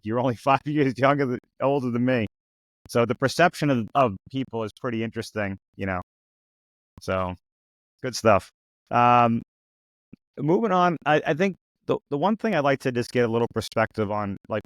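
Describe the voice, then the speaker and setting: A man's voice: medium pitch, dry recording, poor audio. A man around fifty hosting a podcast interview, talking casually and rambling a little, with frequent restarts. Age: 50s. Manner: relaxed, rambling with frequent restarts and "you know". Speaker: podcast host.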